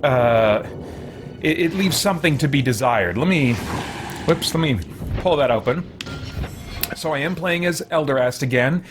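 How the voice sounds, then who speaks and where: A man's voice: a little high, animated, moderate pace, long pauses. Rambling male game streamer, background noise. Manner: rambling, self-correcting, self-deprecating. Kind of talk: casual livestream gameplay commentary.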